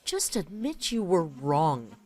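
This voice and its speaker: A woman's voice: low-pitched, huge pitch swings, slow pace, a little mellow, soft background music, pitched below the performer's own voice, full character voice. A woman voicing a bitter, wounded female poet reciting melodramatic spoken-word verse with heavy dramatic emphasis. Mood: wounded, defiant.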